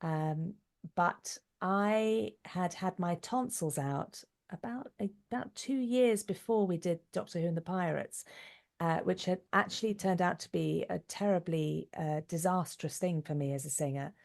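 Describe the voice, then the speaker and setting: A woman's voice: fairly low pitch, a little slow, vocal fry, a little mellow. A middle-aged woman giving articulate, reflective answers in a conversational interview. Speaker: interview guest, actress, writer and singer.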